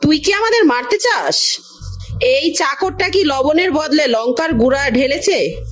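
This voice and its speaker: A woman playing a whiny, dramatic young rich guest in an audio story, complaining loudly. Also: sits high, animated, measured pace, faint music behind. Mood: disgusted. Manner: shrieking complaint. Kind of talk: Bengali audio story acting.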